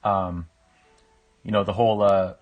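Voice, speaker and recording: A man, chatting casually with livestream viewers in a reflective, halting way as he thinks aloud. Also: deep voice, level tone, narrow, tinny audio. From Canada. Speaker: live-streaming painter. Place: small indoor room with a painting on the wall. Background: music.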